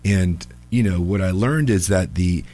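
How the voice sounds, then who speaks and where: Slightly raspy, low-voiced man hosting radio talk. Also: measured pace, mellow. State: a little under the weather with allergies. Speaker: attorney hosting a live radio call-in show. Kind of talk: casual live radio chat. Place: radio studio.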